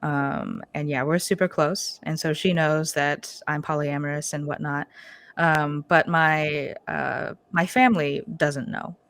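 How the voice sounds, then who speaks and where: A young woman with an American accent talks casually as a podcast guest, her voice sitting low. She fills her sentences with ums and light laughs. The recording is dry, with almost no room sound. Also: unhurried, vocal fry, no echo. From United States. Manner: relaxed, many fillers, laughs often. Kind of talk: casual interview chat.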